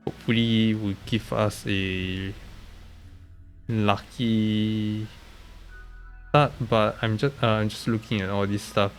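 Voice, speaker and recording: A man with a nasal, congested voice from a recent illness, giving halting, casual commentary on a game live stream. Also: fairly low pitch, level tone, faint music behind. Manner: halting, hesitant, often trailing off. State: congested, recovering from being sick.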